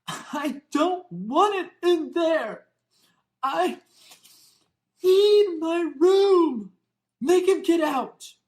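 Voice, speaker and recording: A man voicing a spoiled boy in a storybook, shouting and whining in drawn-out tantrums. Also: very high-pitched, expressive, pitched above the performer's own voice, exaggerated voice. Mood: bawling tantrum. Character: spoiled bawling boy throwing loud tantrums.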